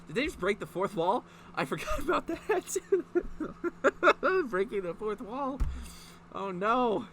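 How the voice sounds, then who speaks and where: A man's voice: high-pitched, sing-song, measured pace, a bit bright, slight background noise. A man reading a story aloud in a mocking, informal way, breaking off to add sweary, incredulous commentary. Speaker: online video host reading fan fiction aloud.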